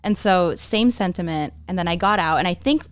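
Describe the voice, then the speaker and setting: A woman's voice: lowish voice, brisk. A young adult runner from Mexico, raised abroad, chatting in an interview, laughing often and quoting her own thoughts. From Mexico, raised in Argentina and France. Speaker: marathon runner guest. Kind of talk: casual interview conversation. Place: podcast studio.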